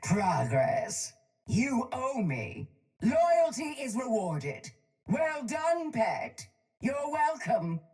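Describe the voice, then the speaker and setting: A woman's voice: sits high, very animated, drawn-out words, a little mellow, heavily acted. An actress voicing a ruthless, haughty chem baroness for a video game, cold and commanding, her voice filtered through a breathing mask. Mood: haughty, mocking. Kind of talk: video game character voice lines. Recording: music playing behind the voice.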